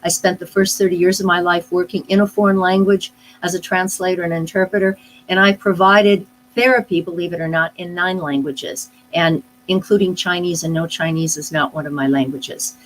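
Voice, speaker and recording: Woman pausing to think between phrases. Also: fairly low pitch, steady tone, slight background noise, rough recording. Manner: thoughtful, with frequent "uh" pauses and restarts. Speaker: speech-language pathologist guest. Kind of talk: live online interview, explaining casually.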